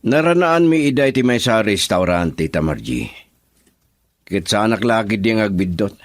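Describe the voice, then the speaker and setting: An actor playing a pushy, scornful young suitor in an Ilocano radio drama. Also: male voice, on the low side, sing-song, moderate pace, soft background music. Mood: scheming, incredulous.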